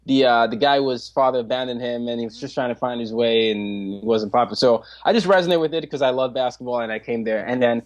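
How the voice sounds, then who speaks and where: A man in his twenties, a podcast guest, talking in an animated way, laughing often and restarting his sentences. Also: medium pitch, fast, hardly any pauses, lo-fi sound. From Nigeria. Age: 20s. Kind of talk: casual interview chat.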